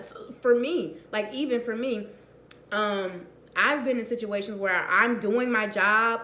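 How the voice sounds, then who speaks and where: A woman vlogger with a hoarse, raspy voice from a cold, talking earnestly and encouragingly to her viewers about faith, repeating phrases for emphasis. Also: medium pitch, echoey room. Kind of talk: casual encouraging talk to camera.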